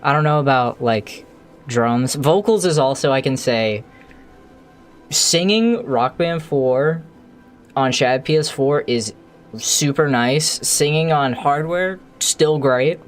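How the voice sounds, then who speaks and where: A game streamer with a medium-pitched voice talks slowly and casually, half to themselves. The delivery is loose and conversational, like someone thinking aloud while they work. Music plays faintly behind the voice. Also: animated. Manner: mutters numbers and thoughts aloud to self. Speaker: live streamer modding a video game.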